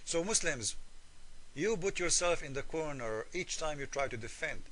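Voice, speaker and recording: A man's voice: fairly high pitch, lively intonation, moderate pace, fairly bright tone. A man whose first language is Arabic, giving combative voice-over commentary in broken English, mocking and repeating his points. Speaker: video commentator. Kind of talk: argumentative voice-over commentary over screen captures.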